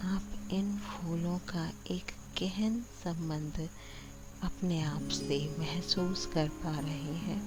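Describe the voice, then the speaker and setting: A woman guides a scripted sleep meditation in a gentle, soothing manner, leaving long pauses between phrases. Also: on the low side, fairly even intonation. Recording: voice over loud background music.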